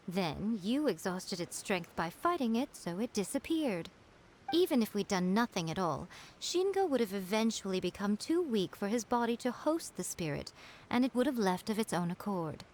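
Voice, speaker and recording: A woman's voice: on the high side, sing-song, normal speed, a little hiss or hum. A woman voicing a haughty, teasing female fox-spirit shrine maiden in a video game, poised and knowing. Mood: smug, unhurried.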